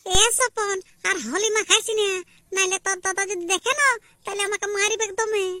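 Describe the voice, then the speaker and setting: A woman's voice: extremely high pitch, little pitch movement, a little fast, pushed and bright. A woman voicing a harried village wife and mother in a comic cartoon, complaining in a rural Purulia Bengali accent. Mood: protesting. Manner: complaining, protesting. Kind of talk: amateur comic cartoon dialogue.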